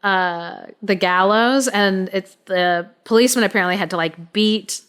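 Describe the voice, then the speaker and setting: A woman co-hosting a podcast, telling a story casually in an informal, halting, explanatory way. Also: medium pitch, fairly bright tone, smooth.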